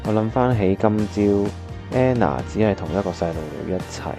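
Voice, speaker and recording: A male actor plays an earnest young pianist with a Hong Kong accent and a fairly low voice. He is caring and thoughtful, telling his story and talking as in a radio drama. Music plays clearly behind him. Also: moderate pace, lightly acted. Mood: reflective narration. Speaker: voice actor narrating and playing the lead. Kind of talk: Cantonese radio drama narration and dialogue.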